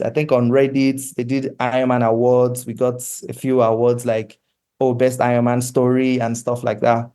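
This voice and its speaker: Nigerian guy in an interview, earnest, measured, mellow dark tone, pretty flat pitch. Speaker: comic book creator, interview guest. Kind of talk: conversational interview answers.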